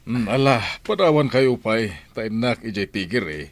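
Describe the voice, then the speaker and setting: A man with an Ilocano accent playing an unhurried older village moneylender in a radio drama, drawling and easygoing. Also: medium pitch, lively intonation, lightly acted.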